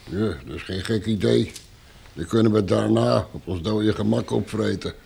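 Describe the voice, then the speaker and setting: An actor playing a surly, threatening henchman in a Dutch radio play, gruff and colloquial, with a gravelly voice. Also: on the low side, moderate pace, dark tone, full character voice.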